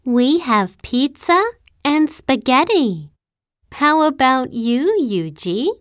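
A cheerful young schoolgirl from Italy, as a woman reads her, with a high voice that swoops up and down. She speaks at a very slow, careful pace, with each word clearly shaped. The recording is close and dry. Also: animated, a bit bright, dry recording, full character voice. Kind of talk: slow, carefully enunciated test dialogue.

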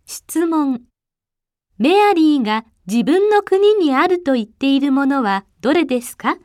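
A woman narrating a listening test, reading the exam instructions and questions in an even, formal way. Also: high voice, fairly quick, dry recording, studio quality.

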